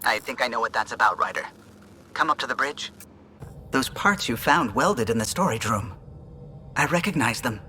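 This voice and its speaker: Alien starship pilot, sci-fi game. Proud, measured, bright mid-range voice, exact diction. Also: male voice, mid-range voice, animated, slight background noise. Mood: solemn. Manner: formal, measured, articulate. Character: alien male starship pilot, proud, earnest amphibian idealist. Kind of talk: cinematic sci-fi game acting.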